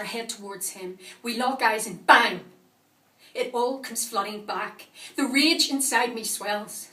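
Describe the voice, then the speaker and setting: An actress performs as a woman carrying old trauma and a thirst for revenge. She tells her story as a dramatic first-person confession, weighing her phrases and leaving pauses. Her medium-pitched voice sits at a distance from the microphone in a reverberant room. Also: fairly bright tone, natural voice. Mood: enraged, anguished. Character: vengeful, traumatised woman recounting a violent night. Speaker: actor performing a monologue.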